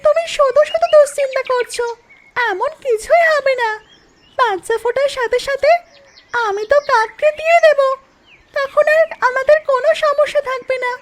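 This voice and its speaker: A woman's voice: very high voice, normal speed, very noisy. A woman voicing a kind-hearted mother duck in a cartoon, with an earnest, coaxing manner. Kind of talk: cartoon voice acting.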